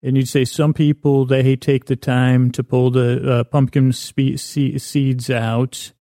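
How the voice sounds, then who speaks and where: A man hosting a bedtime podcast in a meandering, halting monologue, repeating and tripping over words, whimsical and self-deprecating, with a creaky voice. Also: medium pitch, level tone, mellow, no room sound, studio quality. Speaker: podcast host.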